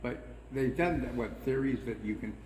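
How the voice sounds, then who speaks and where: A man's voice: mid-range voice, moderate pace, a little mellow, recorded from a distance, a little hiss or hum. A man co-hosting a casual chat, asking eager, curious questions. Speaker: co-host. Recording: echoey room with people nearby.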